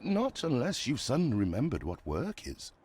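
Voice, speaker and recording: An actor playing a loyal, wry companion, a broke drifter bound by a life debt, in a dramatic game cutscene. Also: male voice, mid-range voice, huge pitch swings, darkish tone, slight background noise. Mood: wry, incredulous. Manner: theatrical, wry, drawn-out delivery. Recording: music behind the voice.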